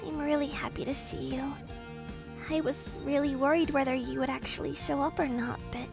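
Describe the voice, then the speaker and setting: A woman playing an emotional young woman in a manga dub, speaking in an expressive, heartfelt way. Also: high voice, moderate pace, vocal fry, over music. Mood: happy, touched. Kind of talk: manga dub character acting.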